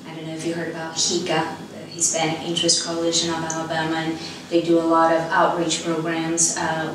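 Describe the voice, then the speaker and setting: A woman in her thirties from Brazil, a native Portuguese speaker with a Brazilian accent, chatting in an interview. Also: deep voice. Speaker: insurance agent. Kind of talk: conversational interview. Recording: echoey room, distant mic, clear background noise.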